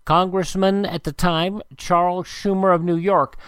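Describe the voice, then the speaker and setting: A man's voice: sits high, little pitch movement, a little slow, fairly bright tone, clean tone, dry recording, studio quality. A man hosting a radio show, reading out dated items like an announcer and adding short asides. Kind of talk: radio narration of this day in history.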